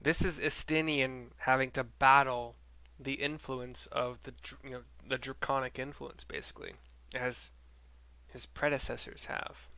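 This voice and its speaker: Slow-paced man narrating gameplay. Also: medium pitch, little pitch movement, vocal fry, low-quality recording. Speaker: gaming video narrator. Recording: under very loud game music. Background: loud game music.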